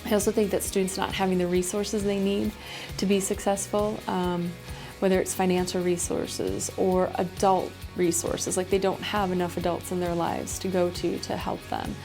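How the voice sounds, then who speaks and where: Woman, middle-aged, low even voice, creaky, school principal, casual interview, faint music behind. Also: moderate pace, hardly any pauses.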